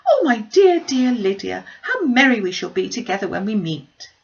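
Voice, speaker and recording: A woman voicing an excitable, gushing, scatterbrained middle-aged mother in a classic novel read aloud, with fluttery, exclamatory delivery. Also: mid-range voice, normal speed, gravelly, dull audio, pitched above the performer's own voice. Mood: excited, bustling.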